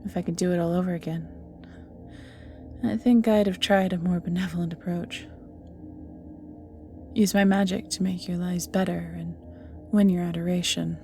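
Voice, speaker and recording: A woman's voice: lowish voice, creaky, darkish tone. A woman playing an ancient banished evil magical being in an audio roleplay, flirty and world-weary, musing theatrically and often sinking to near-whispers, with music behind. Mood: wry, scheming. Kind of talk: scripted audio roleplay acting, addressing the listener.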